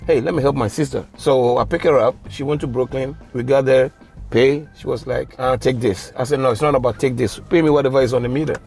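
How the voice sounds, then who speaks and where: A man's voice: mid-range voice, quick pace, vocal fry, faint music behind. A middle-aged man from Ghana, a taxi driver, telling stories casually in his cab, loose and slangy. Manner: relaxed storytelling with slang. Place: inside a taxi.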